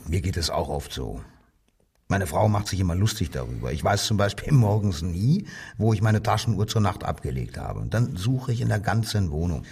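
Slyly genial inspector with swinging intonation. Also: male voice, deep voice, very animated, normal speed, creaky, a little mellow, slightly rough, far from the mic, exaggerated voice. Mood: falsely genial. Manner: feigned joviality, probing, insinuating. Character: male Nazi-era police inspector, falsely genial, needling, menacing. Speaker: interrogating police detective. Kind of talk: radio crime drama dialogue.

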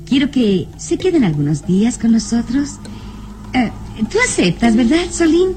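A woman's voice: a little high, very animated, normal speed, a little mellow, faint music behind. An actress playing a spirited, willful young noblewoman in a radio serial, coy and theatrical. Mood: insistent. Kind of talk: Spanish-language radio serial acting.

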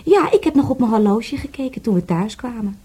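An actress playing a brazen, playful young callgirl in a radio play, husky and pouty. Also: on the high side, animated, a little fast, dark tone.

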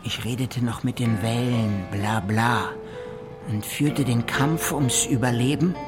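An actor playing a brooding nineteenth-century naturalist recounting his voyage in measured, declamatory phrases for a radio drama. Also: mid-range voice, over music, close to an everyday voice. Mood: brooding, incantatory. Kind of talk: literary radio drama monologue over music.

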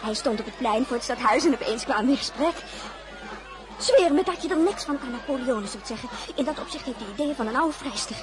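A woman's voice: sits high, expressive, a little fast, a crowd in the background. An actress playing a giddy, gossiping young woman who chatters and giggles in a radio play. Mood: chatty.

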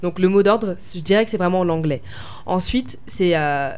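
A woman's voice: on the low side, moderate pace, a little mellow, clear, resonant tone. A young woman from France answering interview questions as a pageant winner, hesitant and full of fillers and restarts. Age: young adult.

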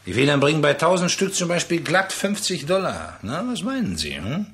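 An actor in a German radio play playing a pushy, jovial, slippery trader who wheedles in a chatty, condescending way. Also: male voice, on the high side, very animated, moderate pace, a bit bright. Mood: wheedling.

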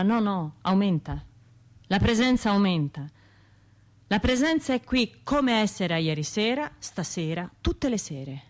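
Haunted survivor, bitter, wry, confiding, colloquial radio drama monologue, mid-pitched voice. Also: female voice. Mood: anguished, insistent. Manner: colloquial, confiding, wry, shifting between narration and imagined voices. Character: wounded, bitter woman survivor of a bombing, haunted by memory.

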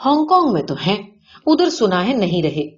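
A woman's voice: mid-range voice, very animated, close to an everyday voice. A woman reading the part of a rich, boastful male gambling-den boss in a story, showy, blunt and colloquial. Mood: proud, offering. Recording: dull lo-fi audio.

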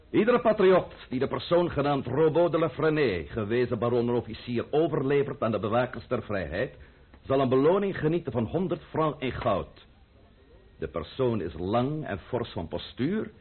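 An actor playing a cunning, menacing count in a Dutch radio play, silky and mockingly polite. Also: male voice, mid-range voice, slightly rough. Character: cunning, menacing aristocratic count, coolly sardonic schemer. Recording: thin, phone-like old radio play recording.

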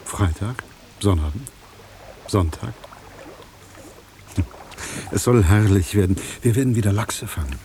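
A man's voice: fairly low pitch, expressive, unhurried, pauses often, warm tone, a little hiss or hum. An actor playing a wry, brooding man in radio drama dialogue, speaking in a dry, understated way. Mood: wry, teasing. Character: wry, brooding man teasing his old girlfriend.

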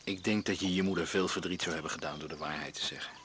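An actor playing a calm, reassuring and gently practical husband in a naturalistic Dutch radio drama. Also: male voice, low-pitched, fast, slight background noise. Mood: gentle, practical. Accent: Dutch (Netherlands).

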